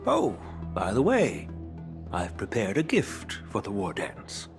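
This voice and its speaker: Dignified older male elder, measured, formal, frank, video game dialogue, music behind. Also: on the low side, wildly swooping pitch, exaggerated voice. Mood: candid, composed. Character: dignified, candid old male elder of an alliance.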